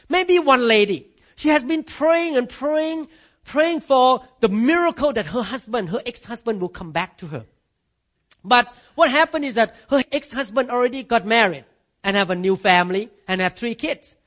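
A man's voice: extremely high pitch, expressive, moderate pace, pushed and bright, lo-fi sound. A man from Thailand with a Thai accent, preaching a sermon with emphatic swings of voice and calls of amen. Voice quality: non-native grammar. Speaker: pastor.

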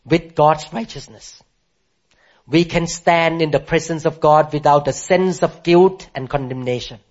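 A male pastor preaching a sermon to a congregation, emphatic and rising to high, impassioned declarations, calling for responses from the room. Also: steady tone, measured pace. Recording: muffled lo-fi sermon recording.

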